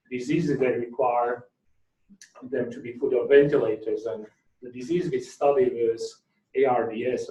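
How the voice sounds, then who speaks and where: A middle-aged man with a Slovak accent speaks as an academic at an informal conference. His pitch is average, and he is picked up from a distance in a reverberant room. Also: a little mellow, lo-fi sound. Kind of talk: informal conference talk with reminiscences. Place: indoor lecture room at a workshop. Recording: echoey room, distant microphone.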